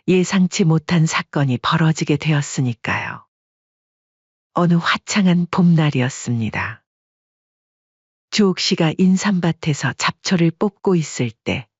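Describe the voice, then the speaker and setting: A woman narrating a scripted audio story in a calm, measured way. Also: low-pitched, slightly rough, no room sound, muffled recording.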